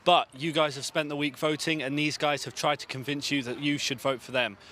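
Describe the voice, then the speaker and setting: A man's voice: a little high, steady tone, a little fast, sharp tone. A man co-presenting a live student election show with friendly, self-deprecating banter.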